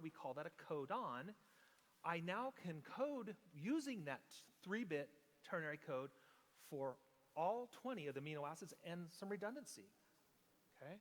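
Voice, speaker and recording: A man preaching a teaching sermon, explaining step by step and often checking in with the congregation. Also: on the high side. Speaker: pastor. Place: church sanctuary. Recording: long hall reverb.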